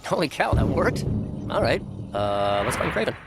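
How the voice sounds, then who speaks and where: An actor playing a cocky young superhero in a video game, quippy and sarcastic. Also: male voice, mid-range voice, sing-song, moderate pace. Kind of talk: video game hero acting. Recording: loud game music behind.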